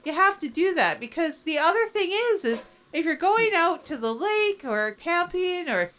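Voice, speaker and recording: Woman playing a high-pitched, insistent camping buddy. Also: very animated, moderate pace, fairly bright tone, exaggerated voice. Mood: insistent, rambling. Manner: rambling, repetitive, emphatic. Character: blunt, rambling, insistent camping companion and dog owner. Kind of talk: improvised comedy audio drama dialogue.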